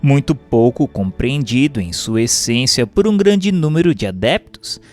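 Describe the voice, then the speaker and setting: A man with a Brazilian accent reading a doctrinal text aloud as a narrator, in a formal, measured, didactic way. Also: mid-range voice, animated, a little mellow, faint music behind. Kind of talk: scripted audiobook-style narration of a doctrinal text.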